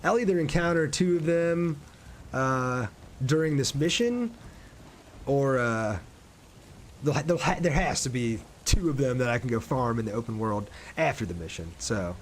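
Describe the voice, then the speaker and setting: A man's voice: fairly high pitch, animated, moderate pace. A man casually commentating on a game livestream and chatting with his viewers. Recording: clear background noise, game ambience.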